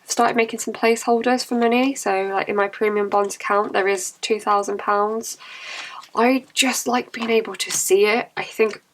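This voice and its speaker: A young woman chatting casually to camera about her budget, her voice croaky and cracking from a cold, with chatty, apologetic asides. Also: mid-range voice, steady tone, moderate pace, fairly bright tone, rough recording. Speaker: budgeting vlogger.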